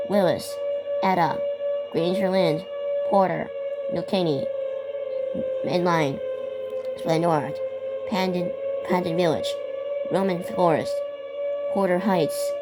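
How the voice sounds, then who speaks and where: A woman's voice: on the low side, fairly even intonation, slow pace, pauses often, poor audio. A young woman narrating a made-up emergency scenario and reading out warnings, stumbling over and repeating words. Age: teenager or young adult. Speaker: amateur video creator narrating a fictional emergency alert scenario. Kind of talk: improvised narration mixed with read-out weather warnings. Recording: loud music behind the voice. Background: loud music.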